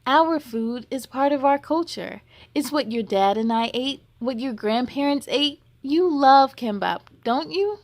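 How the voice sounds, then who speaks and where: A woman voicing a gentle mother in a children's storybook, patient and soothing with her young daughter. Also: high voice, animated, a little slow, no room sound. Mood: reassuring. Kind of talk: storybook character voice.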